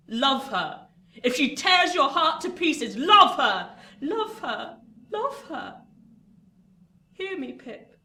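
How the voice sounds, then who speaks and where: A woman's voice: sits high, sharp tone, full character voice. A woman acting a bitter, obsessive old rich spinster in a radio play, commanding, intense and theatrical. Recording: echoey room, distant mic, faint noise.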